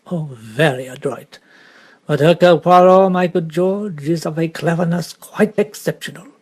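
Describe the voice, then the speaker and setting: A man's voice: a little high, measured pace, a little mellow, slightly rough. A man voicing a vain, clever little Belgian male detective, precise and theatrical, sometimes dropping to a whisper. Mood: musing.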